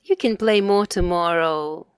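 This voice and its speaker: A woman voicing a loving, cheerful, gently teasing mother in a children's storybook, with affectionate expression. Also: lowish voice, measured pace, smooth, no room sound, natural voice. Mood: playfully firm. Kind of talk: children's storybook read-aloud.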